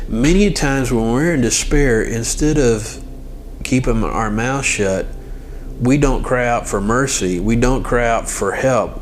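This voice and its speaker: A man's voice: medium pitch, slight background noise. A man giving an informal devotional lesson as host, speaking directly to the listener and repeating phrases for emphasis. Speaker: host and religious teacher. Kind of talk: informal devotional teaching to camera or mic.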